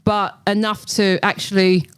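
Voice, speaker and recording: A woman's voice: medium pitch, flat delivery, measured pace, clear, resonant tone. An Australian woman co-hosting a podcast, chatting bubbly and laughing often as she explains why she matched two people. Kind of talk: casual chat.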